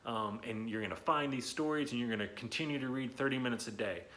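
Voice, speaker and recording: A man's voice: mid-range voice, fast talker, a bit bright. A man reading and talking to young children in an enthusiastic, encouraging way, speaking to them directly and stretching words for emphasis. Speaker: children's story reader and host. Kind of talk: children's read-aloud and talk to young viewers.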